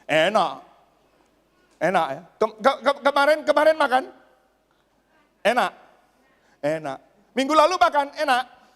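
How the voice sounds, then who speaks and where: High-voiced male preacher in echoing hall. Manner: emphatic, repeats phrases for effect; swings between raised exclamations and hushed asides. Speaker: pastor. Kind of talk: church sermon. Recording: long hall reverb.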